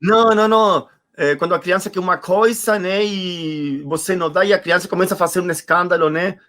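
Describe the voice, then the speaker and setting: A man's voice: sits high, steady tone, a little fast, fairly bright tone. A man, reflecting as a guest in an online conversation, searching for words and repeating them for emphasis. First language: Spanish. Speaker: interview guest. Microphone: video call.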